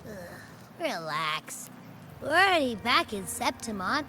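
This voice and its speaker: Small creature sidekick, video game, sharp bright voice, unhurried, playful, gluttonous, chatty. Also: female voice, on the high side, very animated, a little hiss or hum, heavily acted. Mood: laid-back. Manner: exclamatory, playful, grumbling. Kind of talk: animated video game character acting.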